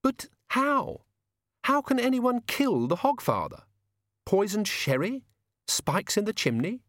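A man voicing a sharp young woman who fires off indignant questions. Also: high voice, big pitch swings, takes it slowly, no echo, clean studio sound, pitched above the performer's own voice, full character voice. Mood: demanding.

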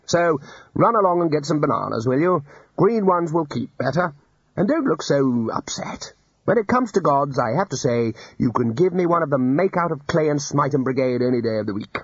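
A man reading aloud and voicing a brisk, hearty elderly male wizard leader. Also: a little high, lively intonation, breathy edge, no echo. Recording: dull lo-fi audiobook recording.

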